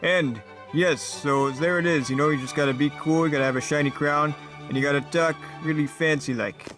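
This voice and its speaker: A man's voice: a little high, fairly even intonation, a little fast, a bit bright, soft background music, lightly acted. A man voicing a self-assured, casual king who chats and explains as a guest on a mock talk show. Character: pompous male king guest, cool and chatty.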